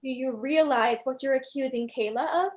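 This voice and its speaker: A woman playing a firm, stern doctor who leads group therapy in a staged play reading, questioning in a measured way. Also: sits high, a little mellow, close to an everyday voice. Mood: stern, reproving.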